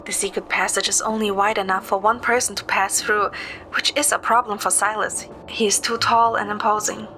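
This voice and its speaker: A woman's voice: mid-range voice, flat delivery, moderate pace, pushed and bright. A woman reading scripted first-person story narration. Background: music.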